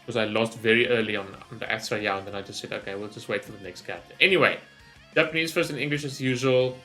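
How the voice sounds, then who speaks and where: A man with an average-pitched voice gives casual reaction commentary as a gaming video host. He rambles and thinks aloud, often tagging a quick check onto his sentences. Music with guitar plays behind him. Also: sharp tone.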